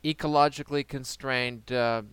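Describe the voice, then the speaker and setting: A man's voice: mid-range voice, fairly even intonation, normal speed, fairly bright tone, clear, resonant tone, no room sound. A man hosting a casual podcast chat who keeps up dry, teasing banter. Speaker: podcast host.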